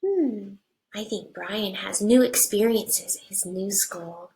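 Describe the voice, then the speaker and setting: A woman teacher reading a picture book aloud to young children, with simple deliberate phrasing and hushed asides. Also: medium pitch, low-quality recording. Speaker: first grade teacher.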